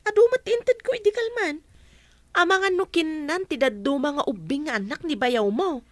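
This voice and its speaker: A woman acts out a sympathetic female friend in a radio drama. Her voice sits high. She speaks expressively and conversationally, full of care. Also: normal speed, no echo, lightly acted. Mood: urging. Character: caring, sympathetic woman friend of a struggling mother.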